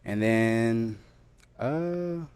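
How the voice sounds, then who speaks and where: A man on a dating show answering questions in a halting, repetitive way, with many ums and restarts. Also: mid-range voice, animated, darkish tone, clear, resonant tone. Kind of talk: candid unscripted conversation.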